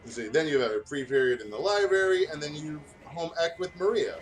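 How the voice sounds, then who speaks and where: A man's voice: a little high, brisk, slight background noise, low-quality recording. A man who hosts let's plays, reading fan fiction aloud and chatting casually. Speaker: let's play host.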